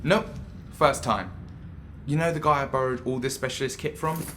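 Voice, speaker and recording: A man playing an excitable, cheeky young ghost hunter in an audio drama, chatty, eager and teasing. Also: medium pitch, moderate pace, background noise, lightly acted. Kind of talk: naturalistic audio drama acting.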